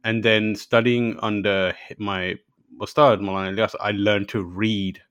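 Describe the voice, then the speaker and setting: A man tells stories in a low voice, laughing as he goes and acting out the people in them. The sound is dry and close, with no room around it. He speaks as a relaxed podcast guest. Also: a little slow, no room sound. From Pakistan. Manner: laughing storyteller, mimics voices in anecdotes. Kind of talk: casual chat.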